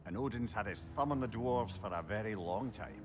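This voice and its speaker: An actor playing a wry, knowing old advisor in a video game, with a Scottish accent and a counselling manner. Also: male voice, on the low side, a little fast, close to an everyday voice. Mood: wry, thoughtful. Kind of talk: dramatic video game acting.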